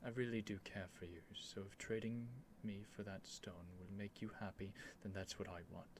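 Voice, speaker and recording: A man playing an earnest, nervous young prince devoted to a dragon, in a halting, emotional ASMR roleplay addressed to the listener. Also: fairly low pitch, fairly even intonation, noisy background, lightly acted. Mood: pleading, resigned. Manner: halting, stammering, breathy sighs and whispers, emotional.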